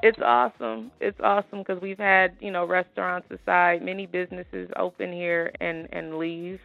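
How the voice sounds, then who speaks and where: Casting: friendly interviewer, gravelly mid-range voice, phone-like sound. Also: medium pitch, level tone, normal speed, dry recording, faint music behind. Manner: warm, appreciative, conversational. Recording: tinny phone-like line.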